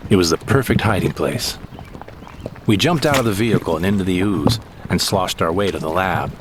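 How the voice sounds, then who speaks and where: A man's voice: low voice, moderate pace, background noise, lightly acted. A man playing a wistful, droll sidekick who narrates a scripted sci-fi comedy audio drama in the first person. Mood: dramatic.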